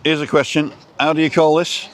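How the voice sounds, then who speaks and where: A man's voice: a little high, level tone, brisk, slight background noise. A man from Wales chatting casually on a walk and reading viewer comments aloud, recorded outdoors on a phone. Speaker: live-stream host. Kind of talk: casual chat while walking.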